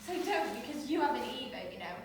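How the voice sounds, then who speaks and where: A woman's voice: sits high, big pitch swings, fast, noisy background. A woman playing an insecure, anxious woman who talks too much, rambling and cutting herself off in a stage monologue. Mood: defensive. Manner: rambling, self-interrupting, defensive outbursts. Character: anxious overtalking woman Leah, insecure and defensive. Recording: echoey room from far off.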